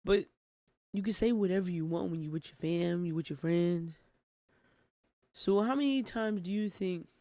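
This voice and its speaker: A man's voice: high voice, normal speed, pauses often, warm tone, no echo. A man giving casual reaction commentary as a host, informal and full of rhetorical questions.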